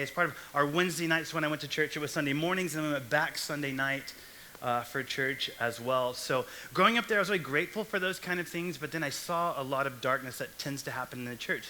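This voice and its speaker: A man's voice: on the high side, pushed and bright, a little hiss or hum, low-quality recording. A young man from Texas giving a casual, joking sermon to a young adult church group. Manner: chatty, jokey asides. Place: church hall stage.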